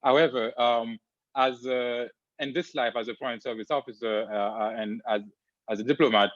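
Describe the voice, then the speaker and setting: A man's voice: medium pitch, steady tone, normal speed, no echo. Man on a dull, dry mic. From Haiti. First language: Haitian Creole. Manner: earnest, explanatory, with many fillers. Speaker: diplomat.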